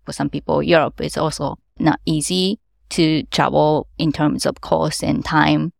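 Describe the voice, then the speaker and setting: A woman with a low, heavily gravelly voice speaks careful non-native English; her first language is Japanese. She explains things earnestly and laughs lightly along the way. The sound is dry and close, with no room around it. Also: steady tone, measured pace, no echo. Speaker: event organizer. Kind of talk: interview answers.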